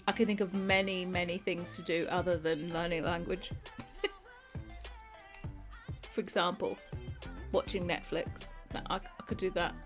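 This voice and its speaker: A woman's voice: lowish voice, flat delivery, moderate pace, over music. A young British woman chatting casually with her livestream viewers. She rambles, laughs often and sometimes loses her train of thought. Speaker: streamer.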